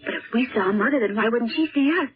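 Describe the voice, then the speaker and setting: Earnest young American girl, high, husky voice, melodramatic 1930s radio serial style, thin muffled recording. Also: fast. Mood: earnest worry.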